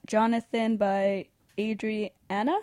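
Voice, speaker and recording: A woman's voice: medium pitch, flat delivery, takes it slowly, mellow. A young adult woman hosting a radio show, chatting casually with a friend, self-deprecating and laughing often. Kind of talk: casual chat.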